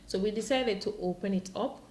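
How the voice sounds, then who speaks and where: A woman's voice: medium pitch, fairly quick, darkish tone. A woman with a Caribbean accent explaining things in an interview as a department spokesperson. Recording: echoey room with clear background noise.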